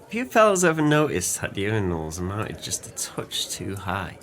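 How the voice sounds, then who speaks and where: A low-pitched, merry drunken seaman in an audio drama. He speaks in grand, flowery phrases and boasts heartily, like a penniless man delighted with himself. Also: huge pitch swings, normal speed, fairly bright tone, heavily acted. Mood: musing, mildly aggrieved. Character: jovial, tipsy, impoverished former sailor, florid and boastful. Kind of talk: audio play acting.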